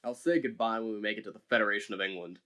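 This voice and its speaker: A woman's voice: extremely low pitch, very fast, a bit bright. A woman voicing a cold, distrustful ship captain who talks curtly in an amateur audio drama. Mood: stern. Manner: cold, curt, disapproving.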